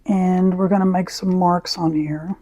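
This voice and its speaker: A woman with a raspy voice, who often clears her throat as she chats through an art tutorial. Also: lowish voice, steady tone. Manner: slow, soft-spoken, frequent throat clearing. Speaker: art channel host. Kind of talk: casual art tutorial chat.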